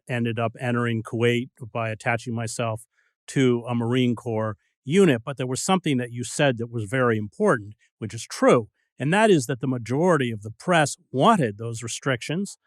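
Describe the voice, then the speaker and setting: A man's voice: medium pitch, no echo, studio quality. A male host speaking in an interview, firm and direct. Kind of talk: interview conversation.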